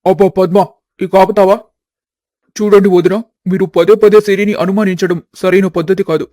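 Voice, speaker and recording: A man's voice: high-pitched, steady tone, normal speed, dark tone, no room sound, clean studio sound, close to an everyday voice. A man voicing an exasperated grown son who chides and reassures his family in a village story. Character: exasperated adult sons keeping family peace.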